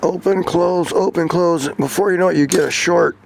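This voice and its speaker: Gravelly American man, casual talk. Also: high voice, fairly even intonation, moderate pace, low-quality recording. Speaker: vlogger and CB radio hobbyist. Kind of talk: casual hands-on gear talk.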